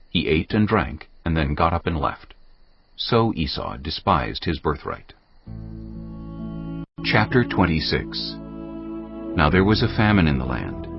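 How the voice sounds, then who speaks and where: A man reading scripted narration for a dramatized audio Bible in a measured, storytelling manner. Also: a bass voice, creaky. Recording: dull audio, faint music.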